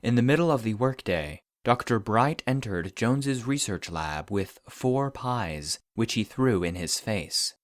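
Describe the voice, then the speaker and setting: A man's voice: on the low side, expressive, a little slow, few pauses, dry recording. A man reading scripted fictional case files as a narrator, in a formal, clinical report manner.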